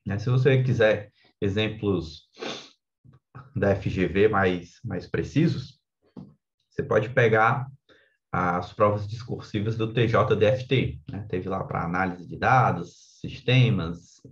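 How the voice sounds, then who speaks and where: A man's voice: mid-range voice, highs cut off. A man with a Brazilian accent giving an informal explanatory lesson as an exam coach, conversational and often tagging his points with little check-in questions. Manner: conversational, frequent tag questions seeking agreement.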